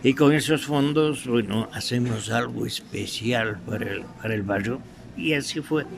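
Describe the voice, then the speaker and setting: An older man with an Ecuadorian highland accent reminisces in an interview, halting and anecdotal. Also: creaky. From Quito, Ecuador. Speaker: veteran radio theatre actor being interviewed. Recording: noisy room recording.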